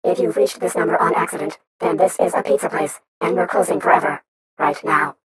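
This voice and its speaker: A woman voicing a deadpan recorded phone operator for an alien-investigation agency. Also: sits low, brisk, natural voice. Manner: deadpan, recorded-message delivery. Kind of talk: game voice acting.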